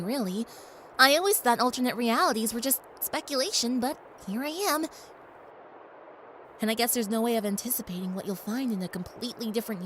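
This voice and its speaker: A woman's voice: on the high side, a little hiss or hum, close to an everyday voice. A woman playing a young female unicorn pony in an audio drama, curious, polite and careful, speaking in a musing, tentative way.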